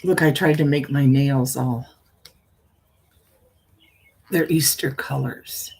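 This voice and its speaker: Slow, husky older woman, folksy storyteller. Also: rumbling low, darkish tone. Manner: folksy, meandering, often trailing off and repeating. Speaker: storyteller and live stream host. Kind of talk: casual rambling chat with viewers; reading a spooky story aloud.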